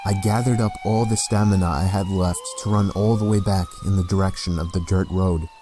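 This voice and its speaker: A man reading a scripted first-person horror story in a measured, deliberate way, with pauses between phrases. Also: deep voice, fairly even intonation, background noise. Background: background music.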